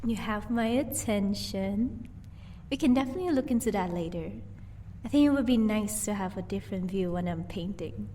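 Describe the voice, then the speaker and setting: A woman playing a gentle, teasing vampire wife in an audio roleplay. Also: medium pitch, expressive, normal speed, far from the mic, slight background noise, natural voice. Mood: pleased, affectionate. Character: sweet, playful female vampire, doting wife.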